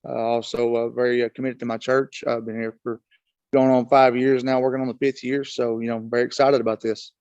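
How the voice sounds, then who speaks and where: A man in his thirties talks earnestly as a guest, halting often on fillers. His voice stays flat and even. The audio is dull and dry. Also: medium pitch, dry recording. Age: 30s. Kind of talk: casual chat. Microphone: video call setup.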